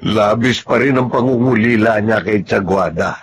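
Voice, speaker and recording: An actor playing a humble, hardworking, stoic farmhand in a Filipino radio drama, speaking plainly and modestly. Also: male voice, lowish voice, moderate pace, close to an everyday voice. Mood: concerned.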